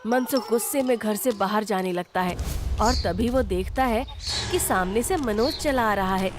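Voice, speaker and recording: A woman's voice: medium pitch, normal speed. A woman narrating a scripted moral story for children. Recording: music behind the voice.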